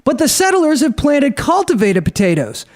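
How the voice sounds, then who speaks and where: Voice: high voice, very animated, moderate pace. An actor playing a commanding, theatrical beetle colonel in a radio drama. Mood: bossy, rallying. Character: bossy Colorado beetle colonel rallying the swarm.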